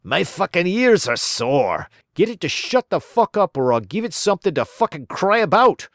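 A man's voice: mid-range voice, big pitch swings, unhurried, gravelly, a bit bright, no room sound, clean studio sound, pitched above the performer's own voice, full character voice. A man voicing a foul-mouthed, angry young Scottish lad who snarls his words. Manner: snarling, sweary.

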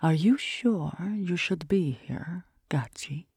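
Scornful young forest fortune-teller woman, acted by the reader, husky and gravelly, pitched higher and whispered. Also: animated, slow, a little mellow, no echo, studio quality, heavily acted. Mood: taunting. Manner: scornful, taunting, drops to a raspy whisper.

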